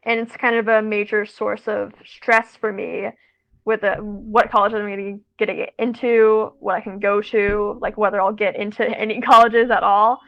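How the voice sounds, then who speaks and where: A woman's voice: mid-range voice, flat delivery, normal speed, creaky, dull audio. A teenage American girl chatting over a call, rambling with lots of fillers and self-corrections. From United States. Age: teens. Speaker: student guest. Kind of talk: casual conversation.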